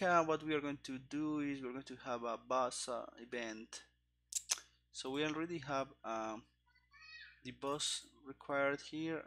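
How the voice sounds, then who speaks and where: A man in his thirties talks himself through live coding at a slow, halting pace. His voice is bright and sharp, picked up on a headset mic. Keys clatter and click behind his muttered working-out. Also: medium pitch. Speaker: programmer streaming game development. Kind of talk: thinking aloud while live coding. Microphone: headset microphone. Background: keyboard typing and clicking.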